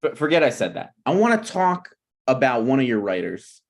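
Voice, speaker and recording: Man reading as brazen scheming slacker, animated delivery. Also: medium pitch. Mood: pushy, conniving. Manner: blunt, presumptuous, halting. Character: Ryan, brazen, scheming slacker screenwriter, male. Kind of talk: table read of a TV pilot script.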